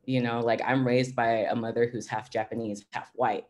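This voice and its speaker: A young adult woman artist reflecting on her own painting during a video call, soft and reflective, often saying "you know, like". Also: extremely low pitch, level tone, moderate pace. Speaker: exhibiting artist.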